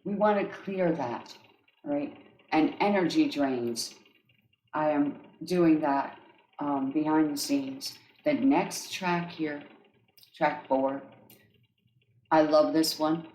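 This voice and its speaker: A woman with an accent, an energy healer speaking gently and often checking in with her listeners as she describes guided healing tracks. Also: low-pitched, slow, pauses often. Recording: echoey room.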